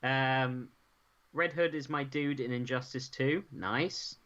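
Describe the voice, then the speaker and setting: A man's voice: mid-range voice, slow pace, a bit bright, highs cut off. A man chatting playfully with his brother and reading out chat comments on a live stream. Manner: teasing, playful, breaks into bits of song. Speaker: co-host and brother.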